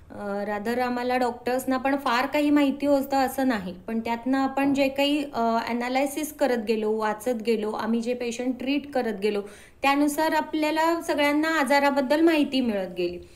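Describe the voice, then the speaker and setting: A woman's voice: high voice, normal speed, darkish tone. A woman, a doctor answering interview questions in an explanatory way, mixing in English medical terms. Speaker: doctor, podcast guest.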